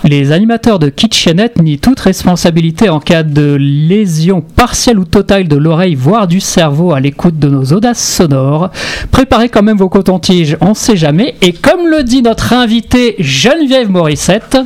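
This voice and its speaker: A man's voice: high-pitched, lively intonation, moderate pace, a little mellow. A man hosting a music radio show in a studio, with jokey, teasing announcer patter. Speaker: radio host.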